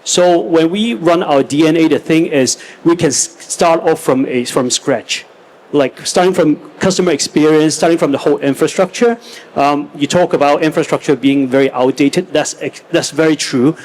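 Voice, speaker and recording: A man's voice: a little high, normal speed, a little mellow, rough recording. A man from Hong Kong speaking as a fintech panelist, hesitant with many restarts and fillers. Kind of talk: panel debate. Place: conference stage.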